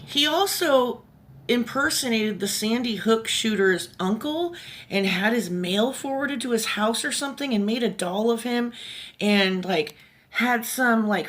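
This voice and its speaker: A woman giving casual, opinionated commentary in informal, slangy language as a video creator. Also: medium pitch.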